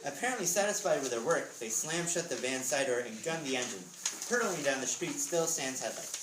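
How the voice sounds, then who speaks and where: Guy reading his book out loud with chatty asides, roomy echo, mic kinda far off. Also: medium pitch, animated, a little fast. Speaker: author reading from his book at a school readers theatre. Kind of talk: expressive storybook reading with casual asides. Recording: echoey room, distant mic, background noise.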